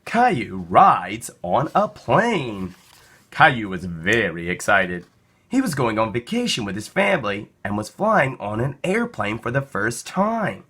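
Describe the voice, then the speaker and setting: Bright-voiced man reading slowly and expressively. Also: mid-range voice, breathy edge. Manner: expressive, deliberate storytelling. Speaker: storybook reader. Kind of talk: children's picture book read aloud.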